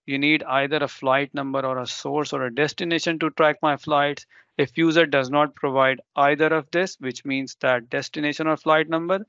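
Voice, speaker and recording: A man's voice: mid-range voice, gravelly, fairly bright tone, dry recording, lo-fi sound. A man whose first language is Urdu, presenting a live technical demo and explaining step by step with ums and self-corrections.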